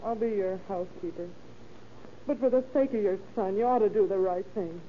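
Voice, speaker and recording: A dull, faintly hissy old radio recording carries an actress as a long-suffering wife and mother. She is weary and reproachful, scolding in earnest, dramatic 1930s melodrama style at a medium pitch. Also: fairly quick, close to an everyday voice. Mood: reproachful, pleading.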